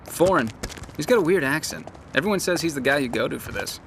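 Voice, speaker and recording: Animated, highish young jock. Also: male voice, fast talker, slight background noise. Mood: eager, casual. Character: eager young male jock pushing growth hormones. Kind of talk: casual banter.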